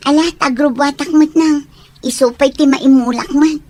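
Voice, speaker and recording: A woman's voice: high-pitched, fairly even intonation, moderate pace, a little mellow, lightly acted. A woman playing a sincere, wounded young daughter with a Filipino accent in an Ilocano radio drama. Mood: everyday, willing.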